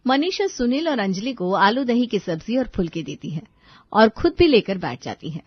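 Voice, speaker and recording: A woman's voice: on the high side, big pitch swings, normal speed, slightly rough, no room sound, highs cut off. A woman reading scripted narration for a family cartoon story as the storyteller.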